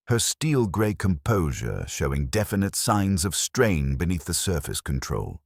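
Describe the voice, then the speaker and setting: Man, audiobook mystery narration, very low voice, dry close sound, formal and measured delivery. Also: breathy edge, no room sound. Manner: formal, measured literary reading. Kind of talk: scripted mystery story narration.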